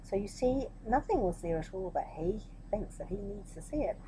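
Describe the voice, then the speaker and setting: A woman's voice: mid-range voice, lively intonation, moderate pace, dark tone, a little hiss or hum. A woman reading a children's story expressively, with explanatory asides spoken directly to young listeners.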